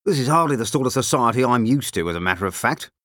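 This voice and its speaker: A short, snobbish man from a storybook, put on by a male reader. He scowls through his words with loud disdain. The voice is pitched up and very animated. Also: fast talker, no echo, clean studio sound. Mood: disdainful. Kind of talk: audiobook character voice.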